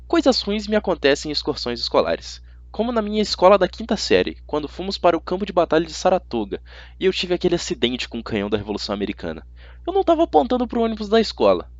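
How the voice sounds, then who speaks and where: A man with a Brazilian accent reading a first-person youth fantasy novel as an amateur audiobook narrator, in an expressive, conversational storytelling way. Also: fairly high pitch, no echo, lo-fi sound.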